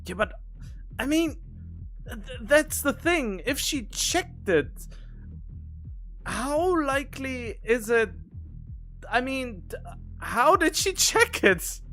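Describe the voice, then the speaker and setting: A man's voice: very high-pitched, big pitch swings, slow, pauses often, bright, forceful tone, faint music behind. A man streaming a video game, thinking aloud in casual commentary with rambling, wondering questions.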